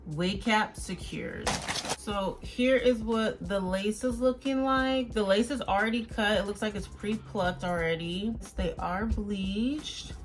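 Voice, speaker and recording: A woman speaks as a beauty vlogger, with a fairly average pitch for a woman. She chats informally and addresses viewers directly, as in a casual product review. Faint music plays behind her voice. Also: slow.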